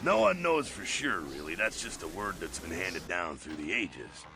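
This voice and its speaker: Ancient anarchist vampire: deep, swinging delivery, irreverent and slangy, video game dialogue. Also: male voice, big pitch swings, normal speed, background noise. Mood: ominous. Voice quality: gravelly. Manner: irreverent, mocking, streetwise slangy drawl. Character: centuries-old brash, jovial anarchist vampire.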